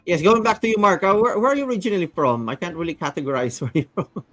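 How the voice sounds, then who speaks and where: A man hosting a live-stream interview over video call, animated and quick to laugh. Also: sits high. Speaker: host.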